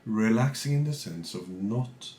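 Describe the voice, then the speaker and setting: A man guiding a meditation as a teacher, calm and measured, speaking in short phrases with pauses between them. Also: fairly low pitch, reverberant, slight background noise. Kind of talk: guided meditation, unscripted spiritual talk.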